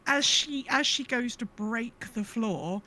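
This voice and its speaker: A woman's voice: fairly high pitch, lively intonation, takes it slowly, pushed and bright, rough recording. A woman co-hosting a podcast, chatting casually and animatedly about an online game. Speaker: podcast co-host.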